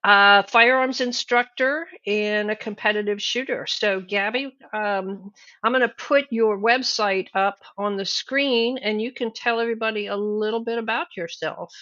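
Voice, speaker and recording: Bright-voiced woman hosting over a dry call. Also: medium pitch, steady tone, a little slow, no echo, muffled recording. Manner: chatty, informal, with fillers. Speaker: meeting host, club officer. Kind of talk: casual meeting introduction. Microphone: video call.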